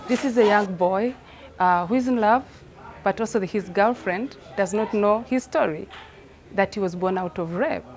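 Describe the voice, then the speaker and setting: A woman's voice: mid-range voice, normal speed, darkish tone. A woman from Rwanda, a theatre director explaining her work reflectively, repeating phrases for emphasis.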